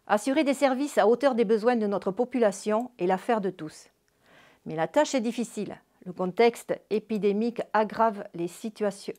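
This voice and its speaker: A woman delivering a scripted, formal New Year's address as a local social service official, in a measured read-out manner. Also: medium pitch, a little mellow.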